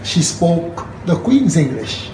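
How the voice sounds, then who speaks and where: A woman chatting casually in a lively, laughing way. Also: on the low side, slow, roomy sound. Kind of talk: casual conversation. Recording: loud background noise.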